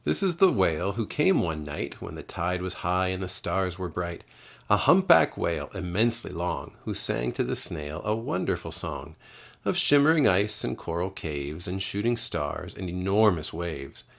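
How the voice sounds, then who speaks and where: A man's voice: deep voice, sing-song, moderate pace, creaky. A man reading children's storybooks aloud expressively, like a kindergarten teacher.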